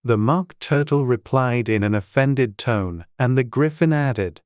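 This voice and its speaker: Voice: medium pitch, a little slow, a little mellow, clear, resonant tone, no room sound. Dry, close storybook narrator. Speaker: audiobook narrator.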